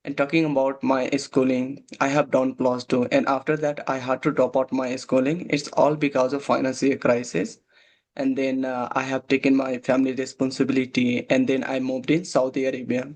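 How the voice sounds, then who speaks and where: A man's voice: medium pitch, little pitch movement, moderate pace, a little mellow. Hesitant young man. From Nepal. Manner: hesitant, many "like" and "uh" fillers. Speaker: guest, waiter. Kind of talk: casual conversation practice.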